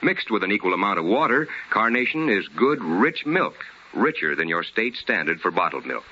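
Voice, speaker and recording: A man's voice: on the low side, very animated, moderate pace, sharp tone. An American man announcing and hosting in formal, polished, scripted 1950s radio style with emphatic delivery. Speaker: radio host and sponsor announcer. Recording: dull old radio broadcast with music.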